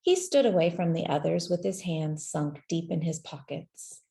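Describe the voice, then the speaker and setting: A woman reading a children's story aloud in a gentle, measured way, with deliberate pauses between phrases. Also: on the low side, animated, creaky. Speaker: storybook reader. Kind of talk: scripted children's story narration, read aloud.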